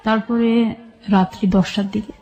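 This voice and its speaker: Dark-voiced Bangladeshi woman recounting her life. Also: medium pitch, steady tone, measured pace, muffled recording. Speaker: guest telling her life story. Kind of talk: personal storytelling in an interview.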